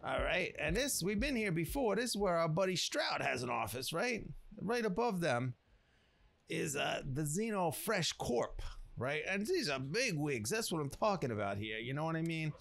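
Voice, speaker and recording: A man's voice: a little high, very animated, moderate pace, vocal fry, fairly bright tone, heavily acted. A man playing a rambling streetwise former drug cook who recounts a legend as game commentary, in slangy, folksy tough-guy talk. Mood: rambling, cocky. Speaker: let's player. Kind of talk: in-character running game commentary.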